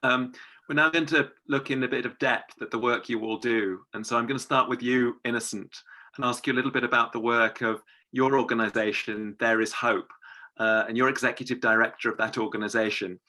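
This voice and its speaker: A man's voice: mid-range voice, fairly even intonation, brisk, sharp tone. A man moderating a seminar, courteously putting several questions at once to a guest. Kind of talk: interview questions.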